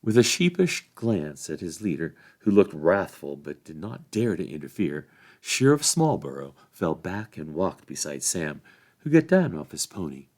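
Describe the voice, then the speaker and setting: Man narrating a story with lively intonation. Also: low voice, measured pace. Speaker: volunteer story reader.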